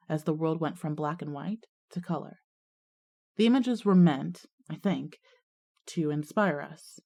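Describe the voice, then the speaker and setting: A woman playing a wry storyteller who looks back on her school days in a scripted audio drama, conversational and deliberate. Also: deep voice, darkish tone. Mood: reflective. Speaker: narrator of a fiction podcast.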